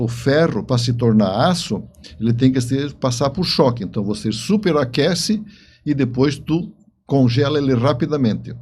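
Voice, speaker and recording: A man with a dark, very relaxed voice at an average pitch. He talks as a guest in conversation, telling stories and repeating short phrases to drive them home. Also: a little hiss or hum. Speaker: interview guest, novelist. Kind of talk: conversational storytelling.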